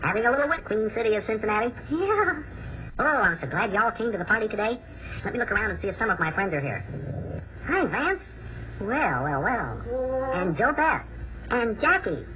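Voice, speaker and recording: A performer voicing an excitable, playful little boyish character on a 1950s children's radio show, gushing and exclaiming. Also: mid-range voice, moderate pace, close to an everyday voice. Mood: gleeful, eager. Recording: muffled, noisy 1953 radio broadcast on tape.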